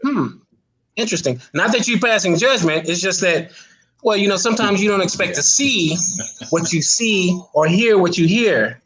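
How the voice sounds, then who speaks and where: A man's voice: high-pitched, expressive, moderate pace, fairly bright tone, husky, low-quality recording. A man being interviewed in a casual chat, good-humoured and hesitant, thinking aloud as he goes. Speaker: talk show guest, stage play actor.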